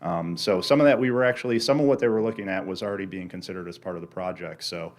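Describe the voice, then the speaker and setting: A consultant presenting project updates to a local board in a low voice. He pauses often with "um" while he gathers his thoughts. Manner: hesitant with many fillers. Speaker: engineering consultant. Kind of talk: reporting to a board.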